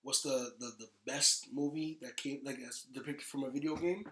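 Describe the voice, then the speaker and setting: A man's voice: medium pitch, fairly even intonation, moderate pace, sharp tone. A man chatting casually as a podcast guest, rambling in an emphatic, slangy way. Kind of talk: casual chat. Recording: echoey room.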